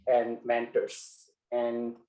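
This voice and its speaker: A man from Bangladesh whose first language is Bengali, giving a webinar presentation as a guest speaker in a measured way, with frequent pauses and fillers. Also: medium pitch, completely flat delivery, mellow, reverberant, rough recording.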